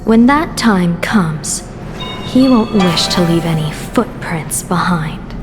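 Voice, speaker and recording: Calm resolute lady general, game cutscene, lowish voice, big reverb, music loud behind. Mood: grave. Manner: measured, formal, declarative. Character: composed, resolute female general of the hunt. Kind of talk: dramatic scripted game dialogue.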